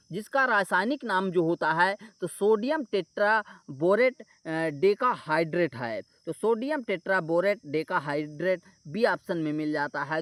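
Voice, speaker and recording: A man's voice: sits high, fairly bright tone, no echo. A man explaining exam questions and answers as a coaching teacher, restating points and checking in with listeners. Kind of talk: explanatory exam solution walkthrough.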